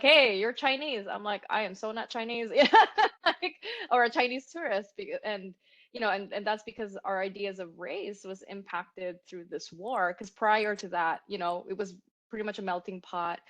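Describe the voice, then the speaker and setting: A woman from the Philippines who teaches, speaking in a casual, chatty way on a webinar panel, full of fillers and quick laughs. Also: medium pitch, a bit bright. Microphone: video call. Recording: muffled video call audio.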